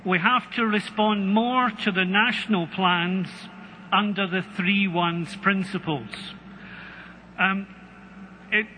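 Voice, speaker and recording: A Scottish man speaking on a conference panel, halting with many ums and a dry wit. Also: high-pitched, steady tone, sharp tone. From Scotland. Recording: long hall reverb, faint noise.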